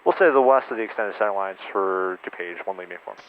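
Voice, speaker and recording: A man's voice: sits low, narrow, tinny audio, a little hiss or hum. A man flying as flight instructor, making clipped radio calls and chatting in the cockpit. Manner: rapid, clipped radio phraseology. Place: small plane cockpit.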